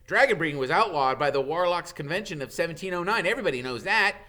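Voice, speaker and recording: A man voicing an eager, know-it-all young schoolboy in a storybook, excitable and insistent. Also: a little high, fast talker, voice pushed up.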